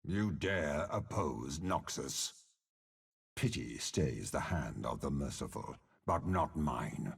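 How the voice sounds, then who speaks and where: An actor voicing a commanding, sinister old general in a video game, with a gravelly rasp and a slow, imperious, menacing delivery. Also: male voice, extremely low pitch, lively intonation, full character voice. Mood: ruthless. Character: commanding, sinister old male warlord general, coldly suave. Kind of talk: video game character voice lines.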